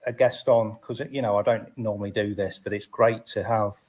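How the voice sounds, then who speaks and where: A man's voice: fairly low pitch, steady tone, normal speed, darkish tone. Hesitant British man hosting a casual chat. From United Kingdom. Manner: hesitant, self-effacing, repeats words. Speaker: host of a live chat.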